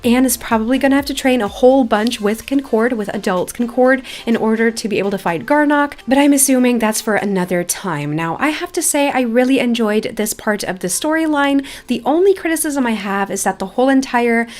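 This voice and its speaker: A woman's voice: a little high, expressive, fairly quick, hardly any pauses, darkish tone. A young woman giving enthusiastic, gushing commentary as she plays a video game. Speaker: gaming video creator, host. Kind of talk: gameplay commentary, reading story dialogue aloud. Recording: music behind the voice.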